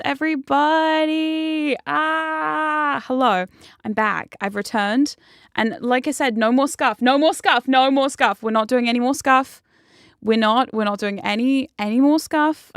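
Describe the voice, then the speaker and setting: Giggly high-voiced woman hosting a stream. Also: dry recording, clean studio sound. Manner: bubbly, giggly, self-deprecating. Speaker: stream host. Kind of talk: casual livestream chat.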